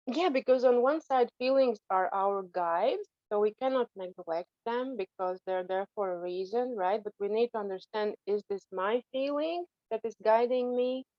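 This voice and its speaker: A woman from Latvia, a coach talking in a casual podcast panel, reflective and halting, restarting her sentences and laughing softly now and then. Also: on the high side, no echo, dull audio.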